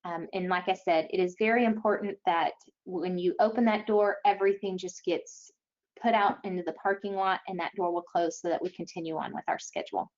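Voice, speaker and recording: A woman giving an explanatory webinar briefing as an event organizer, speaking haltingly with frequent pauses. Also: fairly low pitch, fairly even intonation, darkish tone. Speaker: event organizer presenting to schools. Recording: dull, lo-fi online webinar audio.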